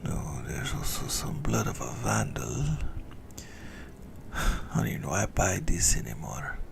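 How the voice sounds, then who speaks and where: Man acting as lovesick male vampire, ASMR roleplay, breathy whisper, slow savoring delivery, swooping intonation, theatrical, doting, obsessive. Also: extremely low pitch, huge pitch swings, heavily acted. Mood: indulgent, picky. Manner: theatrical, adoring, drawn-out relish.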